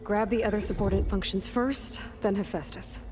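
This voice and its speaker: An actress playing a determined, pragmatic young hunter heroine in a video game, talking in a direct, dry, businesslike way. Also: female voice, medium pitch, fairly even intonation, brisk, noisy background, natural voice. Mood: determined, questioning. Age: young adult. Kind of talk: naturalistic video game acting.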